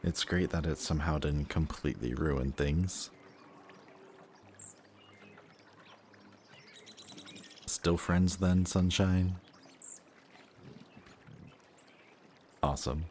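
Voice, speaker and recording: A man's voice: a bass voice, steady tone, moderate pace, darkish tone, slight background noise. A man playing a reformed antihero and affectionate best friend in an intimate audio roleplay, speaking to the listener in a hesitant, confiding, conversational way. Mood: relieved, affectionate.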